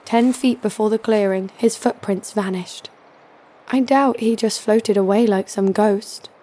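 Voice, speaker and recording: An actress playing a tough, sharp-tongued young woman fighter, narrating and speaking in an audio drama with a sardonic edge. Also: medium pitch, fairly even intonation, unhurried, a little hiss or hum, lightly acted. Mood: narrating, wry. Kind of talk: audio drama first-person narration and dialogue.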